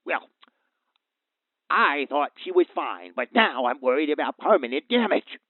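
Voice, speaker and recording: An actor playing a worried, earnest father calling a radio show, speaking haltingly over a phone line. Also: a little high, expressive, no echo. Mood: shaken, worried. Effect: phone line filter. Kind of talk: audio drama phone-in acting. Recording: tinny, narrow phone-like line.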